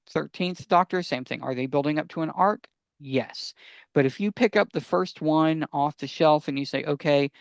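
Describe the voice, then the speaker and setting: Clear-voiced man, eager podcast co-host. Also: fairly high pitch, no echo. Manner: eager, explanatory, asks and answers own questions. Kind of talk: casual podcast talk, giving recommendations.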